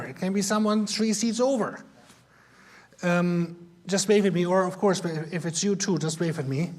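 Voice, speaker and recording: High-voiced German-accented man teaching. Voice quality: high-pitched. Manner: talks quickly, self-deprecating humor. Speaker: missionary teaching Sunday school. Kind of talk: informal Bible class talk. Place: church classroom.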